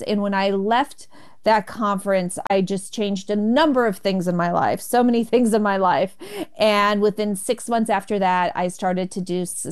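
A woman's voice: mid-range voice, clean tone. Candid woman who stutters. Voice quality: stutters, with repeated opening sounds. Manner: candid, animated storytelling. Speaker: comedian and author, guest. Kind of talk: interview conversation.

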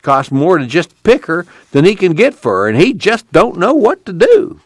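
A man voicing a bitter, weary male migrant farmhand with a rough, folksy rural drawl. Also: sits high, wildly swooping pitch, normal speed, dry recording, clean studio sound, voice pushed up, exaggerated voice.

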